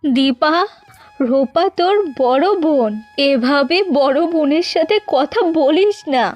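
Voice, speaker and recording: High-voiced earnest village mother played by a woman. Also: takes it slowly, a little mellow, soft background music. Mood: gently admonishing. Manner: earnest, admonishing. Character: caring, principled middle-aged village mother who scolds. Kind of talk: Bengali cartoon fairy-tale acting.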